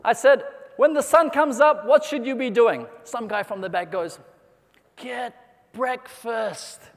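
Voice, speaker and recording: A man from New Zealand giving an energetic motivational keynote, rallying the audience with questions and calls for applause. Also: very high-pitched, a little slow, vocal fry. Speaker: motivational speaker. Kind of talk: keynote speech to a convention audience. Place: large convention hall. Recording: long hall reverb.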